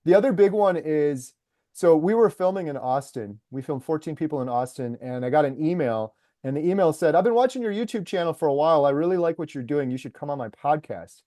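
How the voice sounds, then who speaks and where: A man's voice: fairly high pitch, animated, brisk, mellow, dry recording, clean studio sound. A man who makes documentaries, talking casually and eagerly in an interview and filling his speech with "like" and "uh". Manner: enthusiastic storyteller, lots of fillers like "like" and "uh". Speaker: documentary maker and YouTuber.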